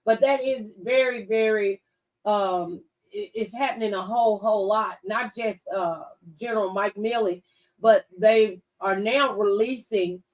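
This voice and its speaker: A woman's voice: mid-range voice, measured pace, low-quality recording. A woman in a casual political discussion on a talk show, speaking conversationally.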